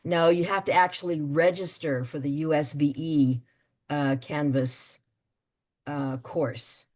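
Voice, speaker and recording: A woman leading an online meeting, explaining things with many fillers and pauses. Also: low voice, fairly even intonation, a little mellow. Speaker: meeting facilitator.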